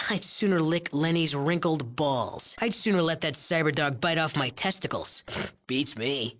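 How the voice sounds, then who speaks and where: An actor playing a cocky, lecherous young drug chemist in a video game, with a smug, sneering, streetwise and defensive manner. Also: male voice, a little high, a bit bright, full character voice. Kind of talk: video game character acting.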